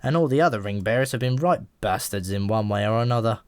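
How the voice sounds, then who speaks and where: A man's voice: on the low side, expressive, quick pace, smooth, no room sound, close to an everyday voice. A man voicing a chatty, world-weary pigeon in an audiobook, talking in an offhand, frank and matter-of-fact way. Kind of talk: audiobook character voice.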